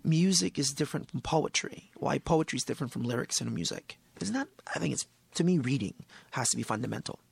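A man whose native language is Tagalog, speaking as an author guest in a casual interview, animated and emphatic, often laughing. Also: medium pitch, fast talker, creaky, hardly any pauses, husky, no echo. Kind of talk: casual conversation.

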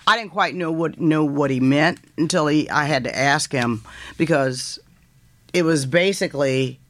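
A woman in her fifties with a deep voice, a guest author telling stories from her student days in an animated way. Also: measured pace, dry recording. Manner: animated, quotes others in lively voices, laughs while telling. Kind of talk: casual storytelling interview.

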